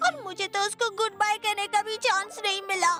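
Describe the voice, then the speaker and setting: Little girl, piercingly high, bright, emotional and earnest, cartoon dub. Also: fairly even intonation, a little fast, faint music behind. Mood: heartbroken. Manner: earnest, pleading, dramatic. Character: sweet, emotional little girl devoted to her pet cat. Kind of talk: Hindi cartoon dub acting.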